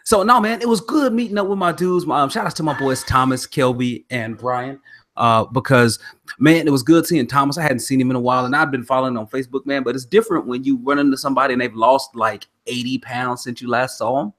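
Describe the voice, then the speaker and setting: Brief: male podcast host, 30s, from Oklahoma, baritone, loose slang, lively delivery, mid pitch. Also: fast. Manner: slangy, animated, laughs often. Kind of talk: casual podcast chat.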